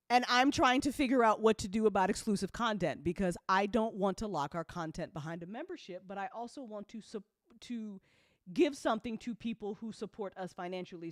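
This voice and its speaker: A woman's voice: medium pitch, no echo, studio quality. A woman talking casually and off the cuff as an audio drama producer updating fans, candid, colloquial and full of swearing, emphatic when she makes a point.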